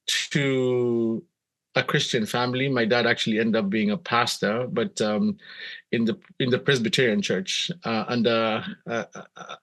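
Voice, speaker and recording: A middle-aged man with a Kenyan accent, telling personal stories in a reflective, measured, conversational way as an interview guest. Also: mid-range voice, a bit bright. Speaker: podcast guest, missionary pastor.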